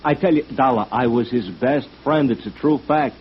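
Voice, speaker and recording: An actor playing an excitable, rough-edged American booking agent, blustering and repetitive, in a 1950s radio drama. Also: male voice, medium pitch, moderate pace, slight background noise, close to an everyday voice. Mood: defensive. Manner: blustering, repetitive, ungrammatical tough talk. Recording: dull old radio recording.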